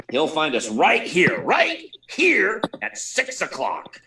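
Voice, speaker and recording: A man playing a flustered, blustering newspaper editor in an old-time radio drama, stammering and excitable. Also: a little high, full character voice. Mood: stubborn, worried. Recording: live remote performance, clear background hiss.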